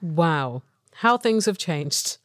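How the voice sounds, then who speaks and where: A woman's voice: low voice, animated, extremely slow, a bit bright, dry recording, studio quality. Wry woman host asking questions. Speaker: podcast host. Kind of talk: interviewing guests.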